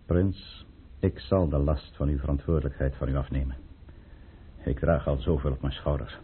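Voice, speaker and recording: Deep, husky, commanding count. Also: male voice, heavily acted. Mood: solemn, resolute. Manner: formal, deliberate, authoritative. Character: commanding, coolly calculating count, a conspirator against the tsar. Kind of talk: radio drama acting. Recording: muffled old radio play recording.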